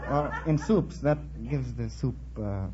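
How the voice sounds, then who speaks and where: A man's voice: medium pitch, measured pace. A man answering as a quiz show contestant in a formal, wordy, precise manner. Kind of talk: interview answers on a radio quiz. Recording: muffled, noisy vintage radio recording.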